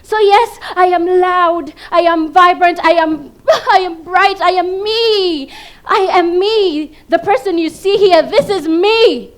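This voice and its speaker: Ugandan female stage performer, very high voice, slow theatrical pacing, emphatic comic monologue with big dynamic swings. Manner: emphatic, dramatic delivery with big swings between hushed and loud. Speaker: competition contestant performing a monologue.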